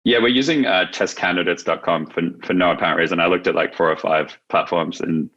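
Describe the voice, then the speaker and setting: Low, bright-voiced man explaining informally. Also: fairly quick, lo-fi sound. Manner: informal, wordy explaining with frequent "like" and "you know". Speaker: business founder, podcast guest. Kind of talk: conversational interview answers, explaining.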